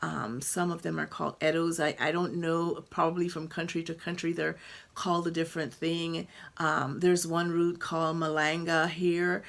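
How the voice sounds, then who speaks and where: Lady doctor explaining stuff, low-ish voice, lots of ums. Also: little pitch movement, vocal fry. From Guyana. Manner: unhurried, thinks aloud with frequent ums and restarts. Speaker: doctor and patient advocate. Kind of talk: informal medical explainer.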